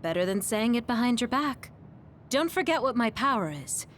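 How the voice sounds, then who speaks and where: A woman's voice: fairly high pitch, animated, normal speed, fairly bright tone, a little hiss or hum. Frank, protective young woman. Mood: firm. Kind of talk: English anime game dub acting.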